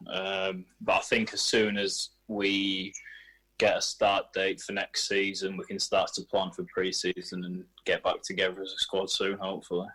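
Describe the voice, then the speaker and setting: Young bloke, footballer doing an interview, low voice, pretty monotone. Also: male voice, moderate pace, low-quality recording. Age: young adult. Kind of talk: casual sports interview answers.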